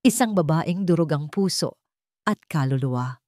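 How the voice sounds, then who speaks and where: A Filipino woman reading a scripted romance story as narrator, with dramatic, deliberate phrasing. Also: low voice, expressive, darkish tone, dry recording, clean studio sound.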